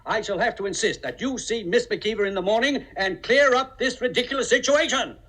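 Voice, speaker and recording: An actor playing a pompous, bossy senior business partner, formal and blustering, in 1950s radio comedy-drama. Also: male voice, high-pitched, fairly quick, a bit bright, soft background music. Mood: pompous, insistent. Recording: old 1950 radio broadcast.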